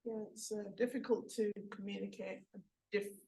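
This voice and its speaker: A woman in a workshop discussion, speaking in a measured, thoughtful way. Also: mid-range voice, steady tone, gravelly, mellow. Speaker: workshop participant. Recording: distant from the mic.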